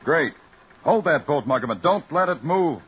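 An actor playing a dry, commanding police lieutenant in an old-time radio crime drama. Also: male voice, a little high, lively intonation, moderate pace, over loud music, lightly acted. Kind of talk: 1940s radio acting. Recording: muffled old radio recording, faint hiss.

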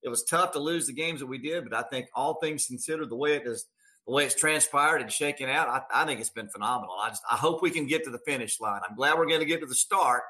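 A man's voice: medium pitch, quick pace, pushed and bright. A man who announces sports on radio, talking conversationally as an interview guest and repeating phrases for emphasis.

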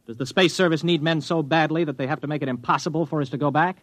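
A man's voice: a little high, steady tone, fast, fairly bright tone, no echo. An actor playing a weary, stubborn spaceman who narrates his own story, in 1950s radio drama style. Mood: indignant. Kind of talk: 1950s radio acting with first-person narration. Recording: dull vintage radio recording, music.

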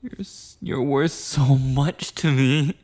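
A man's voice: mid-range voice, takes it slowly, a little mellow, close to an everyday voice. A man playing a clingy, insecure, possessive boyfriend in an intimate ASMR roleplay, rambling breathily and often slipping into whispers. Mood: adoring, guilty.

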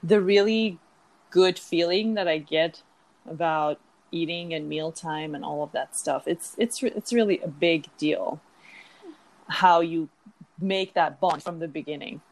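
A woman's voice: sits low, unhurried, poor audio. A woman from Iran, a dietitian, talking reflectively about her career and food culture in an interview. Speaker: dietitian guest. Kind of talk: conversational interview.

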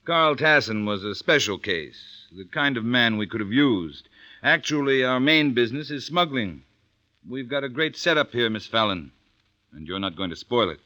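An actor playing a ruthless smuggling captain in an old radio drama, with a threatening, sardonic, hard-boiled manner. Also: male voice, mid-range voice, animated, moderate pace, bright, forceful tone. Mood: menacing. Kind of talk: 1940s radio acting. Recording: vintage radio drama recording.

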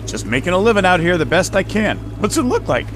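An actor playing a brash, sarcastic, streetwise sidekick turned cab driver in a radio drama. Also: male voice, high-pitched, big pitch swings, fast, background noise. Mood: flippant.

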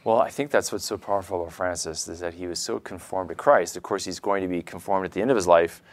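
A man's voice: low-pitched, fast talker. A man hosting a podcast, talking conversationally with his guest in an interview. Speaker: podcast host.

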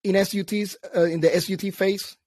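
A man with a fairly high voice hosts a relaxed chat, moving the guest along with easygoing questions. His voice sits close and dry on the mic. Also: brisk, no echo. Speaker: host. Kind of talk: casual interview chat.

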